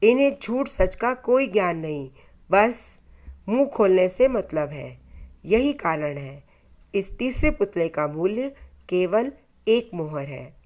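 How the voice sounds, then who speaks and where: A woman narrates a scripted folktale with a moral. Her delivery is very unhurried, broken into short, deliberate phrases. Her voice sits at an average pitch for a woman. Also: very animated, a little mellow. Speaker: storyteller.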